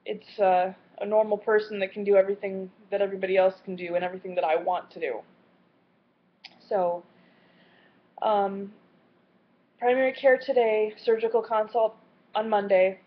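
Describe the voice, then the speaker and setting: A woman in her late twenties talking in a personal video diary, earnest and candid, with a halting way of speaking. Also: mid-range voice, flat delivery. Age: late 20s. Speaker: vlogger. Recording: slightly muffled lo-fi audio.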